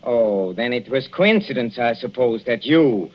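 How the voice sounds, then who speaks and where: An actor playing a jealous, coldly calm husband who menaces his wife in a slow, mocking, falsely tender way, in old-time radio drama style. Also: medium pitch, natural voice. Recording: dull, noisy old radio drama recording.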